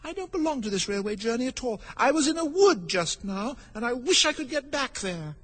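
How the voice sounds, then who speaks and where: A polite, wondering little storybook girl, put on by a man, with a touch of impatience. The pitch is lifted high above a normal male voice, with a husky, airy edge. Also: sing-song, moderate pace, fairly bright tone, full character voice. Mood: impatient.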